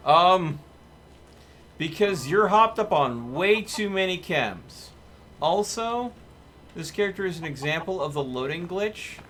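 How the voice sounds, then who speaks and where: A man's voice: high voice, animated, a little slow, fairly bright tone, a little hiss or hum. A man streaming a video game, giving casual commentary and rambling as he thinks aloud. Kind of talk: casual live gameplay commentary. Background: game music.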